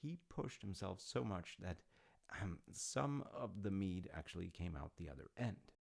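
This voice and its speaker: A man acts out an easygoing middle-aged dad in an intimate audio roleplay. His voice is very deep, with a heavy gravelly creak. He takes his time, speaking slowly and wandering into wry, hesitant asides as he tells his tale. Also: expressive, full character voice. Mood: wry, amused. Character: easygoing dad telling his grown child Norse myths. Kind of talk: intimate conversational storytelling roleplay.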